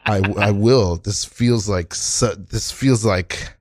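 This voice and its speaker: Man acting as devoted male student, lowish voice, deferential, reassuring, apologetic, improv comedy, dry sound. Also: slow pace, darkish tone, no room sound. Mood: anxious, supportive.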